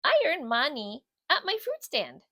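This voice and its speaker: A woman's voice: sits high, wildly swooping pitch, a little slow, sharp tone, no room sound, clean studio sound, pitched above the performer's own voice, exaggerated voice. A woman voicing a sweet, determined female bulldog in a children's storybook, speaking in an earnest, emphatic way. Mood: proud, cheerful.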